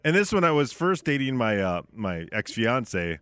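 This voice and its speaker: A man's voice: mid-range voice, sing-song, normal speed, fairly bright tone, no room sound, studio quality. A middle-aged man with an American accent co-hosting a sports talk radio show, bantering casually and telling anecdotes with self-corrections. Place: radio studio.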